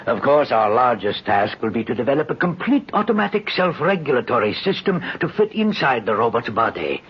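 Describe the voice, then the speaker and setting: A man's voice: medium pitch, big pitch swings, fairly quick, slightly rough. An actor playing a proud, commanding inventor and father in an old radio drama, with a formal, emphatic delivery. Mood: assured. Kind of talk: 1950s radio drama acting. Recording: muffled old radio recording, loud music.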